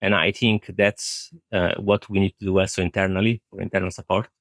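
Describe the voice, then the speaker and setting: Low-voiced man explaining in an interview. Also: level tone, fairly quick, no echo, studio quality. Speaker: IT director, interview guest. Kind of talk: thoughtful conversational interview answers.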